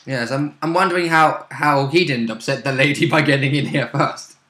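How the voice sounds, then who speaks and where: A man's voice: mid-range voice, moderate pace, bright, forceful tone, roomy sound. A man playing a tabletop roleplaying game, chatting casually and jokily as he goes. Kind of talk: casual banter about a game.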